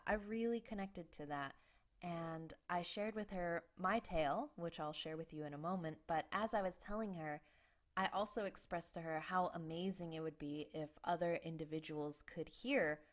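A young woman with a low-pitched voice hosts her own podcast and talks through a personal story. She is candid and self-deprecating, wandering a bit with fillers and restarts. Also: normal speed. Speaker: podcast host.

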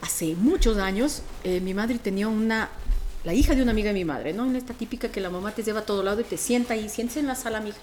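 A woman with an Ecuadorian accent and a deep, strong voice, chatting casually and colloquially as a radio presenter telling stories in an animated way. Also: fast. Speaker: radio presenter being interviewed. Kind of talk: casual podcast chat. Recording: music playing behind the voice. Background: music.

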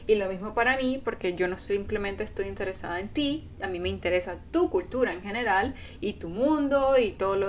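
A woman's voice: medium pitch, a little hiss or hum. A woman co-hosting a casual couple podcast, talking earnestly and acting out the things people say. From Venezuela. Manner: earnest, animated, acts out quoted speech. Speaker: podcast co-host.

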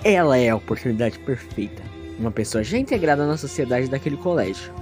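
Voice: mid-range voice, lively intonation, quick pace, darkish tone, faint music behind. A narrator telling a scripted story in a theatrical, self-mocking way with playful asides.

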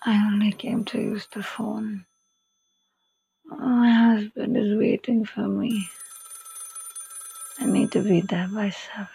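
Actress playing a husky, desperate confined woman. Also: mid-range voice, fairly even intonation, slow, pauses often, warm tone, exaggerated voice. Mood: anxious pleading. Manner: pleading, agitated, urgent. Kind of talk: amateur audio play acting.

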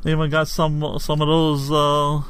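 A man's voice: a little high, completely flat delivery, normal speed, fairly bright tone, clean tone. A man co-hosting a casual podcast, chatting loosely and swearing freely. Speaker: podcast co-host.

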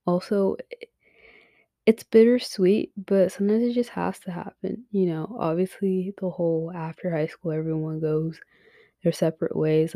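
A young woman gives frank, swear-laced advice as a podcast host, often slipping into a whisper. Her voice is low and mellow, and it sounds worn as if she is losing it. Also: vocal fry, no room sound, clean studio sound. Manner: frank, swears, many fillers, drops to a whisper. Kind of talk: casual advice monologue.

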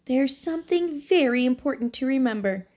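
Woman doing a feeble, chirpy old lady of ninety-two. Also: sing-song. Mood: kindly. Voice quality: feeble, high-pitched. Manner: chirpy, slow and gushing.

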